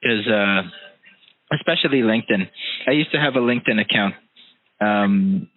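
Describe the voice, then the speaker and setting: A man speaking earnestly and haltingly in a conversation, often pausing to search for his words. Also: fairly low pitch. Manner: hesitant, halting delivery. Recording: roomy, tinny phone-like call audio.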